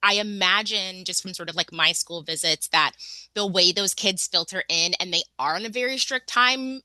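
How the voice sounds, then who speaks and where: Woman webinar host, bright and dry, casual video-call chatter with lots of "like"s. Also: on the low side, normal speed, no echo, rough recording. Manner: chatty, lots of fillers and restarts. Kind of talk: casual online webinar hosting.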